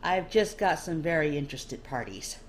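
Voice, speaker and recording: A woman voicing a calm, easygoing human man in a storybook reading. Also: low voice, moderate pace. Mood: calm, friendly. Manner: calm, easy. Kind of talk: storybook character dialogue. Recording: faint background noise.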